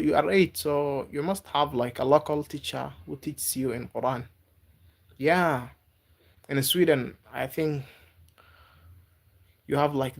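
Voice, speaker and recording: A man from Africa, not a native English speaker, chatting live with viewers and giving gentle advice, halting and repeating words. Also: a little high, gravelly. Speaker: livestreamer. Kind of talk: casual live-stream chat with viewers.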